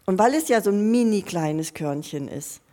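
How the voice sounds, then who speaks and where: A woman's voice: mid-range voice, animated, normal speed, warm tone. A woman giving a sermon, earnest and personal, speaking straight to her listeners and often restarting or repeating her phrases as she thinks aloud. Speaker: preacher.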